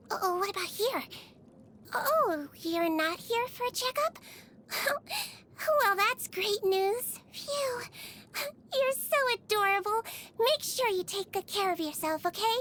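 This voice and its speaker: A woman voicing a small, sweet young Melusine nurse in a video game, with a gentle, caring, bubbly manner. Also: very high-pitched, slight background noise, exaggerated voice. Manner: gentle, cutesy, bubbly, attentive bedside manner. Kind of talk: video game character voice lines.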